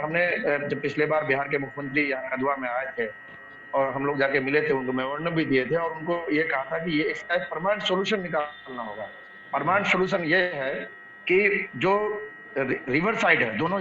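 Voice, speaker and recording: A man's voice: on the high side, noisy background. A man with a Bihari accent discussing local issues as a guest, repeating himself and searching for words. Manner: halting, repetitive, searching for words. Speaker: local activist guest in online debate. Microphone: online call. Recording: echoey muffled online call, background crowd murmur.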